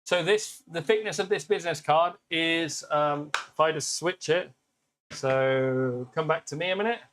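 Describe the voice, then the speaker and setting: A British man with a naturally loud voice, hosting a casual live stream demo and chatting warmly with viewers. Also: on the high side, unhurried. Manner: chatty, upbeat, greets viewers by name. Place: workshop with laser engravers.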